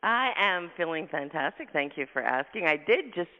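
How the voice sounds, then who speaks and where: A woman hosting a radio show, talking fast and effusively in a husky voice, tired from travel. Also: sits low, very animated. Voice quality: husky, gravelly voice. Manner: fast, effusive talker, laughs often. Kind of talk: casual radio interview chat. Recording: tinny phone line with long reverb.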